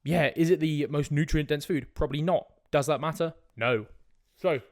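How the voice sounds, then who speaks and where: A young man talks through a casual solo fitness podcast in a direct, informal way, checking in with quick "right?" tags. He is sniffly from a cold, and his voice sits a little higher than most men's. The sound is dry and close to the mic, with no room around it. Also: no room sound. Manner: direct, casual, swears, frequent "right?" asides. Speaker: fitness coach podcast host.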